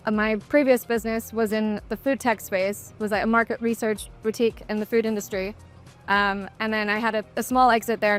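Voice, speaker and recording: A woman's voice: mid-range voice, fairly bright tone. A woman who founded her own company, talking casually on a panel in a matter-of-fact way.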